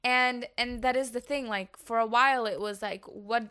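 A woman with a fairly high voice talking through her thoughts in an earnest, reflective way. She sounds like a podcast host in casual conversation. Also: steady tone, normal speed, bright, forceful tone, clean tone. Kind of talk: casual chat.

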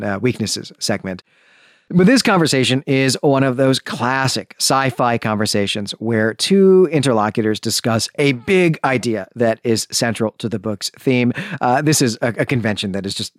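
A man's voice: mid-range voice, no echo. Dry-sounding man giving emphatic book commentary. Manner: emphatic, explanatory, often checks in with "right?". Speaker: podcast host. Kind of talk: book analysis, semi-scripted commentary.

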